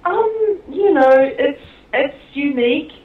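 A woman's voice: sits high, expressive, slow pace. A woman film director being interviewed, talking casually with many fillers and frequent laughs. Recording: echoey, tinny phone-like line.

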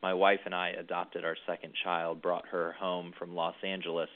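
A man being interviewed about a book he wrote, speaking thoughtfully with frequent pauses and restarts. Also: deep voice, little pitch movement. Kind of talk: reflective conversational interview. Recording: tinny phone-like line.

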